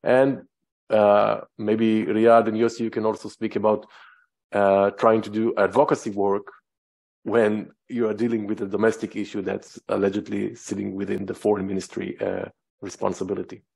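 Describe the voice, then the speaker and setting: A man's voice: lowish voice, level tone, moderate pace, gravelly, a little mellow, breathy edge. A man moderating a webinar panel, posing questions to the speakers with hesitant fillers.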